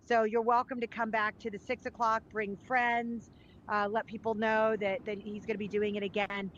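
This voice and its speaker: A woman's voice: on the high side, little pitch movement, moderate pace, a bit bright, lo-fi sound, slight background noise. A woman hosts a virtual event, announcing what comes next and asking the guest questions in a friendly, informal way. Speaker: event host. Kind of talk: casual hosting.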